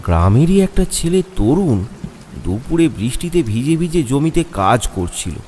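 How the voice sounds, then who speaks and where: Animated male horror story narrator. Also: medium pitch, moderate pace, slight background noise. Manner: dramatic storytelling.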